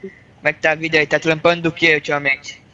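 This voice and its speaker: A man's voice: a little high, flat delivery, quick pace, dull audio, a crowd in the background, lightly acted. A man in a game roleplay playing a mechanic, drawling and calling everyone rapaz. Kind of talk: in-character roleplay.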